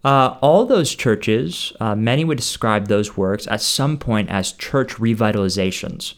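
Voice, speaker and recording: A man's voice: fairly low pitch, expressive, a little slow. A man speaking as a podcast host, interviewing a guest in a friendly, conversational way.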